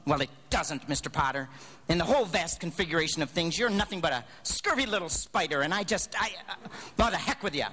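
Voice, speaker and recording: An actor playing an earnest, excitable small-town family man with a stammering manner in a live radio drama. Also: a little high, a little fast, natural voice. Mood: indignant.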